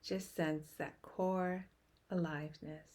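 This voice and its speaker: Very slow, hushed woman guiding meditation. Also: fairly low pitch, fairly even intonation. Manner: gentle, hushed, with long pauses between short phrases. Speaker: meditation guide. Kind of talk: guided meditation.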